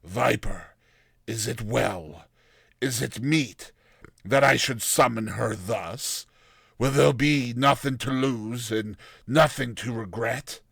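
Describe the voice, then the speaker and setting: Aged male necromancer, acted by a male reader, world-weary, brooding, solemn, deliberate, archaic fantasy speech, dry sound. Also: medium pitch, gravelly, a bit bright, breathy edge, no room sound, heavily acted. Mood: brooding doubt.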